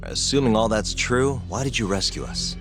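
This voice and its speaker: An actor playing a terse, skeptical private detective with a curt, questioning manner, in video game dialogue. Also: male voice, fairly low pitch, animated, normal speed, over music, close to an everyday voice. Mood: probing.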